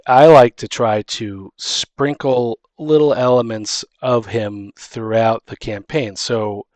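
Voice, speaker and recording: A man talks as a co-host in a loose, casual conversation. His pitch is average and his delivery rambles, halting and starting sentences over. The sound is very dry, with no room around it. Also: no echo. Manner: rambling, halting, restarts sentences mid-thought. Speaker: podcast co-host.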